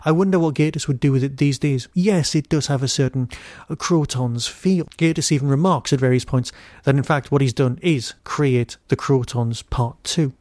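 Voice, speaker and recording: A man hosting a podcast, giving an informal solo review in a wry, digressive way with emphatic pauses. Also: medium pitch, a little mellow, dry recording, clean studio sound.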